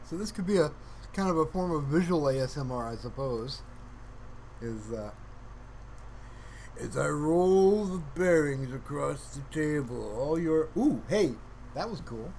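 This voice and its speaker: Guy rambling slowly on a stream, playful mock-serious, pitch all over the place, bit of noise behind him. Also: animated, a little mellow. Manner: playful, mock-earnest, musing. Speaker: livestreamer hosting his own stream. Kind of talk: casual, rambling livestream commentary to viewers.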